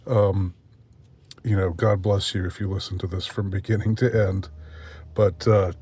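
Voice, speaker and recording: A man's voice: low-pitched, level tone, mellow, slightly rough. Congested middle-aged man rambling. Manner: halting, many fillers and restarts; self-deprecating, wry. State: nasally congested. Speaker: vlogger. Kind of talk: rambling solo monologue.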